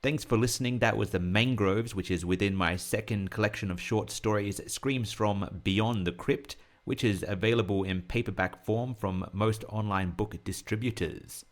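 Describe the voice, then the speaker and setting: A man narrating scripted horror fiction in a measured, literary storytelling manner, as the author and host of an audio story podcast. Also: on the low side.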